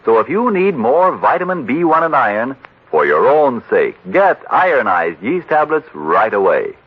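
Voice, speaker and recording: A male radio announcer in 1940s style, narrating and pitching with polished, emphatic salesmanship. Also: very animated, normal speed, husky. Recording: muffled old radio recording.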